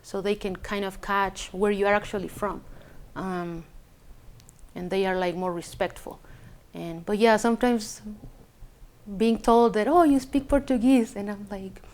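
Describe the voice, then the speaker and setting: A woman's voice: mid-range voice, steady tone, a little slow, long pauses, darkish tone. A woman from Chile, a native Spanish speaker with a Chilean Spanish accent, talking casually and reflectively about her own life, sometimes hesitating to search for English words. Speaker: interviewee telling a personal story. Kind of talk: casual reflective personal talk.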